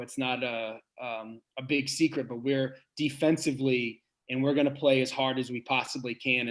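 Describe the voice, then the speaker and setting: Coach guy with a big voice, earnest, lots of pauses and restarts, middle pitch. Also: poor audio. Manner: earnest, thinks aloud with many pauses and restarts. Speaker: head basketball coach. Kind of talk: podcast interview answers.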